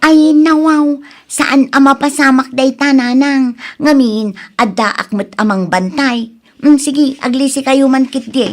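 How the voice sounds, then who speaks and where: A high-voiced, showy Ilocano Filipino woman from a radio comedy drama. She is excitable and exclamatory, delivering her lines with theatrical flair. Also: a little slow. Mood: excited.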